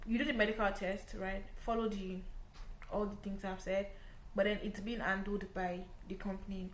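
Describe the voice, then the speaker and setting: A woman's voice: medium pitch, level tone, normal speed, slight background noise. A young woman with a Nigerian English accent talks to camera in a casual, explaining way. She repeats herself for emphasis and keeps asking "right?" Her voice sits mid-range and carries a noticeable roomy echo. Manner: chatty, repeats points, often says "like" and "right?". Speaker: vlogger giving visa advice.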